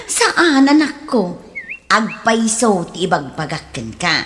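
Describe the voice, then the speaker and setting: A woman playing a gentle, doting, easily puzzled mother in a comedy radio drama, speaking affectionately. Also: medium pitch, big pitch swings, slow, a little hiss or hum. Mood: fond, pleased.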